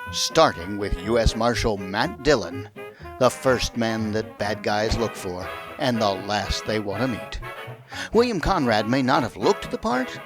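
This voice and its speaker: Male radio host over music. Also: lowish voice. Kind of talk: scripted introduction to a radio show.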